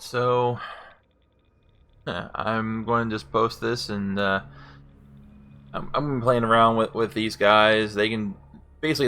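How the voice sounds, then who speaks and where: Gravelly male game streamer, casual and forceful-toned. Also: on the low side. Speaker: streamer demonstrating game voice packs. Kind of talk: casual gameplay commentary.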